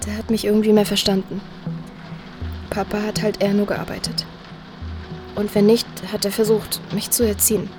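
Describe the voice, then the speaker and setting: A woman's voice: medium pitch, little pitch movement, moderate pace, long pauses, music in the background, close to an everyday voice. A young woman playing a candid, shaken teenage daughter in an audio drama, speaking informally. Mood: candid, chatty. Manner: informal, youthful. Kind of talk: audio drama acting, podcast chat.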